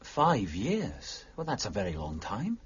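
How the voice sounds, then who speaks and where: An actor playing a courteous, easily taken-aback young gentleman in a period radio drama, speaking formally. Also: on the low side, huge pitch swings, unhurried, breathy edge, muffled recording, full character voice. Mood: polite, curious. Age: young adult. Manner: courteous, formal, mildly indignant.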